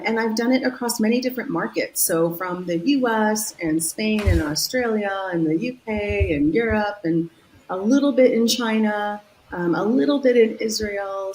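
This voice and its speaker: A woman's voice: medium pitch, a little mellow, low-quality recording. A woman with a Californian accent speaks as a podcast guest, with a voice that sits in the middle of the range. She talks in long, enthusiastic stretches, upbeat and sure of her subject. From San Diego, California. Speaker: guest executive. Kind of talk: business podcast interview.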